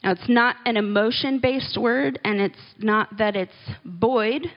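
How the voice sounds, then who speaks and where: Slow, unhurried woman teaching scripture. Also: fairly high pitch, muffled recording. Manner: unhurried and conversational, with little laughs and asides. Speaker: Bible teacher. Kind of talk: relaxed church teaching talk.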